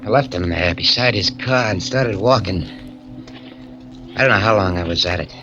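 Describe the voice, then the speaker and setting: A man's voice: lowish voice, normal speed, music in the background, close to an everyday voice. A man playing a hard-bitten male convict on the run, with streetwise slangy tough-guy talk, in 1940s radio acting on a dull lo-fi recording. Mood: uneasy.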